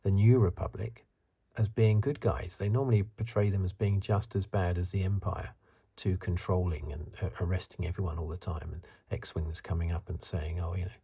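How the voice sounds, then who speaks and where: A man giving soft-spoken, half-whispered ASMR commentary in a husky, breathy voice, musing and rambling as he goes. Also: low voice, steady tone, moderate pace, hardly any pauses. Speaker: ASMR reviewer.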